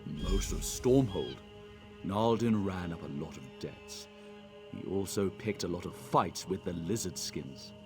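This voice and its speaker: A man's voice: deep voice, animated, a little slow, music in the background. A man playing a surly, sarcastic townsman in a video game, brusque and bereaved. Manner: brusque, sardonic. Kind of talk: video game character acting.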